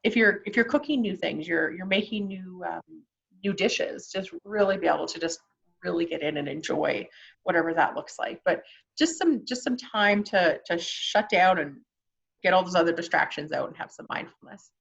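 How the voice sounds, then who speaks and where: Casting: female workshop presenter, Canadian accent, encouraging and conversational, on the lower side, muffled lo-fi sound. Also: moderate pace, creaky, a bit bright. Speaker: workshop presenter on mental health. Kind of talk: informal online workshop presentation.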